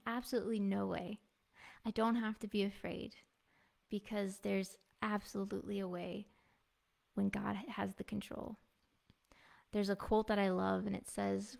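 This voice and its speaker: A woman in her thirties giving a heartfelt personal devotional testimony in an earnest, soft-spoken way. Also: mid-range voice, gravelly. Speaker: devotional speaker and music minister. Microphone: clip-on mic.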